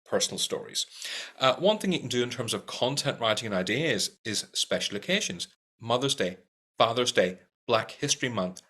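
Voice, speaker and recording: A man's voice: lowish voice, sharp tone. A middle-aged man with a strong Irish accent, teaching practical tips in an upbeat talk to camera and repeating key points for emphasis. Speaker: sales trainer.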